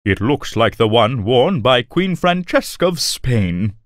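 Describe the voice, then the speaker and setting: Kids' folktale cartoon: amiable, sly wandering storyteller, expressive delivery, close dry sound. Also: male voice, mid-range voice, a little slow, no room sound. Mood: friendly, sly. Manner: amiable, courteous. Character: friendly, easygoing male traveler, sly storytelling companion. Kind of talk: animated children's story acting.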